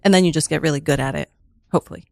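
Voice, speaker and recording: A woman's voice: low-pitched, racing, creaky, dry recording. A woman chatting casually as a guest on a comedy podcast. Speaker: guest mime on an improv show.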